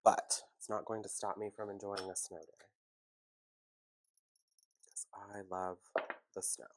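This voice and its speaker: A man hosting a casual home baking tutorial, chatty and relaxed, with a congested, sniffly, nasal voice from a cold. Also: on the low side, unhurried, slight background noise. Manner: chatty, relaxed, self-deprecating asides.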